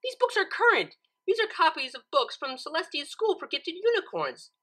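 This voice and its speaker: Woman acting a bookish, bright-voiced cartoon unicorn. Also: extremely high pitch, big pitch swings, moderate pace, pitched above the performer's own voice, exaggerated voice. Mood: indignant. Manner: insistent, precise, challenging. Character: bookish, exasperated young female cartoon unicorn. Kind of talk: cartoon fan fiction character reading.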